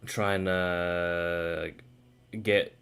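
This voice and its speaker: A man's voice: low voice, flat delivery, extremely slow, creaky, fairly bright tone, smooth. A man chatting casually on a game stream in a slangy, rambling, profane way. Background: faint game music.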